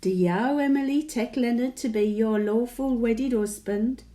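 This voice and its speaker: A woman's voice: on the high side, a little slow, dark tone, lightly acted. A woman playing a chatty, sentimental elderly Birmingham widow with a Brummie accent, reminiscing in a folksy, plain-speaking monologue. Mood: tender, teasing. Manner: folksy dialect, wistful and chatty. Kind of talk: dramatic monologue, conversational reminiscence.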